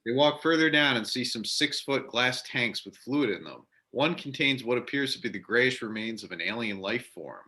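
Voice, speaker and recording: Bright-voiced male narrator. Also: mid-range voice, expressive, moderate pace, few pauses. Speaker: narrator reading stage directions. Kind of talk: scripted narration, amateur readers theater.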